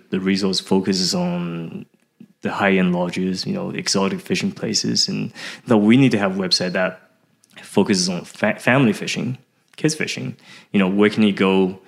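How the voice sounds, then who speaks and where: Podcast guest: middle-aged male, deep pitch, conversational, halting delivery with repeated words. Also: creaky. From Taiwan, later Australia and Canada. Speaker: podcast guest, fishing website founder. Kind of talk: casual interview chat.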